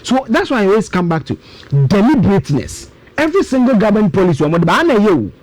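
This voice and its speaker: Animated, high-pitched Ghanaian man, emphatic. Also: quick pace, poor audio. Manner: emphatic, repeats points, switches between English and Twi. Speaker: guest analyst. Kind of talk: animated explanatory radio discussion.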